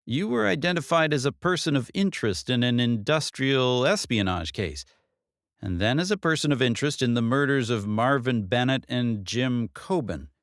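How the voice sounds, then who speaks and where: Audiobook police detective, female played by a man: raised pitch, lively inflection, measured and concerned. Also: no room sound, studio quality, natural voice. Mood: probing. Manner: measured, earnest, official questioning.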